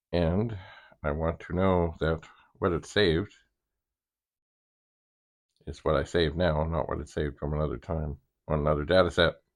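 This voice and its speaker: Male hobbyist host, low register, unhurried and halting delivery, casual thinking-aloud demo. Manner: halting, muttering asides to himself. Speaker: vintage computer hobbyist host.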